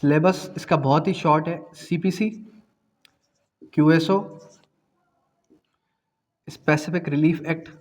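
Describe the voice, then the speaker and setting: A man's voice: on the high side, steady tone, slow pace, pauses often, darkish tone. A man with a Pakistani accent lecturing exam students, explaining by posing questions and answering them, mixing in English phrases. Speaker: law exam tutor. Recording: long hall-like reverb.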